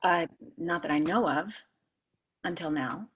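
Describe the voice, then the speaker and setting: Woman playing a husky, eager female historian. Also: fairly low pitch, a little slow, lo-fi sound. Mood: earnest explaining. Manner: explanatory, excitable. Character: eager, knowledgeable young female historian, easily starstruck. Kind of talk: amateur table read of TV drama script.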